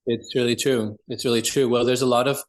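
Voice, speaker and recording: A man with a medium-pitched voice talks as an interview guest. He explains in an earnest, conversational way, like a practitioner sharing his field. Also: flat delivery, a little fast, poor audio.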